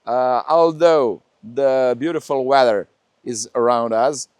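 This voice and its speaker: A man from Serbia speaking English with a Serbian accent, a painter being interviewed, talking haltingly with many pauses and fillers. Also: medium pitch, clear, resonant tone, dry recording. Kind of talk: interview conversation.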